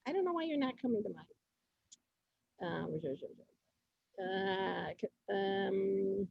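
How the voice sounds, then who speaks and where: A woman's voice: mid-range voice, slow, creaky, no echo, highs cut off. A woman informally hosting a live online event, flustered and often repeating her phrases. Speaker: host. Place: indoors, on a video call. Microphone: video call.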